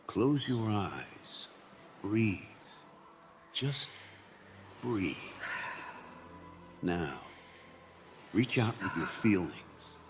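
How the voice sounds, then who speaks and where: A man playing an older, weary Jedi master in an audio drama, solemnly instructing a pupil. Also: fairly low pitch. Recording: noisy audio drama clip.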